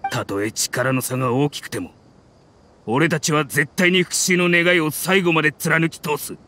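A man's voice: a little high, sharp tone, slight background noise. Grim mercenary man. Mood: grave. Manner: grave, measured storytelling. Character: grizzled male mercenary, grim and loyal. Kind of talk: Japanese game dub.

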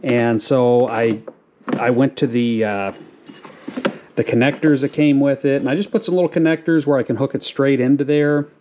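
A man's voice: mid-range voice, moderate pace, highs cut off, noisy background. Easygoing man with rambling fillers. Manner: relaxed, rambling with many fillers. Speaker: farm channel host. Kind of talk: casual how-to video narration. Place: garage, then outdoors on a farm lawn.

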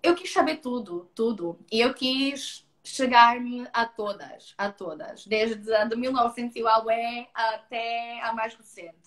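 A woman's voice: a little high, slow, few pauses. Frank Angolan woman on a roomy call. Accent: Angolan Portuguese, slightly Brazilian-tinged. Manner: frank, animated, repeats words for emphasis. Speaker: guest, former beauty queen. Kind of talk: casual live video-call interview. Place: indoors, seated before a stone wall. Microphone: video call from a laptop. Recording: roomy video call audio.

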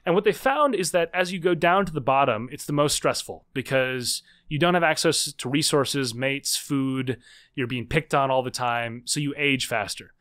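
A man in his thirties, a guest author explaining ideas in a podcast interview, animated and full of quick restarts. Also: mid-range voice.

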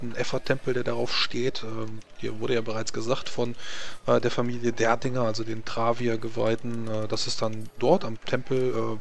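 A man leads a tabletop roleplaying game as its narrator. His voice moves little in pitch and sits at a middling height, with some faint noise behind it. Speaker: game master. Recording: clear background noise.

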